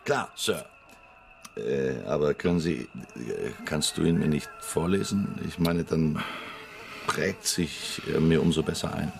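An actor playing an easygoing junior police constable in a radio drama, deferential and casual in manner. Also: male voice, a bass voice, a little slow, gravelly, slight background noise, heavily acted. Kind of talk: radio drama acting, conversational.

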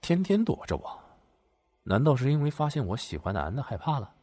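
A man's voice: medium pitch, big pitch swings, a little fast, a little mellow, no echo. A man playing a decent, forgiving and easily flustered dog owner, speaking earnestly in a Chinese audio drama. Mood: pensive. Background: background music.

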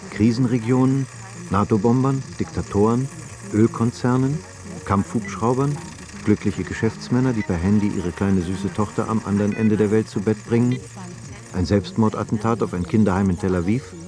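A man's voice: lowish voice, close to an everyday voice. A man narrating a scripted radio drama.

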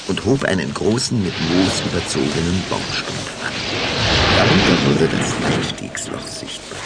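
A man tells a children's story in a low voice with a gravelly edge. His scripted narration is dramatic and deliberate, with lively rises and falls. There is noise behind him.

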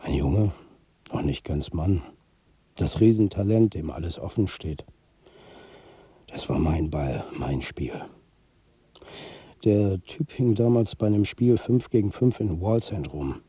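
A world-weary football manager telling his own story in a radio drama. His voice is husky and blunt, with a sardonic, confiding edge. The sound is very dry and a little muffled. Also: low voice, lively intonation, slow pace, long pauses, no echo, heavily acted. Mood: wistful. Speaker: actor narrating as the lead character. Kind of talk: first-person radio drama narration.

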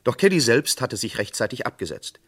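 A man narrating a vintage radio crime drama in a measured, matter-of-fact way. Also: medium pitch, sing-song, husky.

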